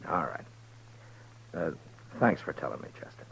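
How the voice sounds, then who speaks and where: A man with an American accent playing a weary, terse frontier marshal who narrates deliberately in a 1950s radio drama. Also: extremely low pitch, big pitch swings, vocal fry, a bit bright, slight background noise, heavily acted. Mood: dry, terse. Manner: laconic, deliberate. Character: weary, terse frontier lawman narrating his own story. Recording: muffled old radio recording with music.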